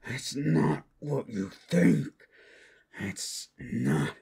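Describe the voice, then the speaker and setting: A man playing a cursed, menacing captive werewolf in an ASMR roleplay, with a gravelly, husky voice that often breaks into whispers. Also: medium pitch, huge pitch swings, darkish tone, a little hiss or hum, heavily acted. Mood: desperate, strained. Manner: slow, dramatic, swinging between whispers and outbursts. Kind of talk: ASMR audio roleplay acting.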